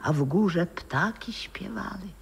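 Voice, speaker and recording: An actress playing a wistful old former opera singer in a 1960s radio drama, speaking lyrically and dramatically, sometimes dropping into whispers. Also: female voice, lowish voice, animated, takes it slowly, gravelly, breathy edge, full character voice. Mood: wistful reverie. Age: older. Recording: reverberant 1960s radio play recording.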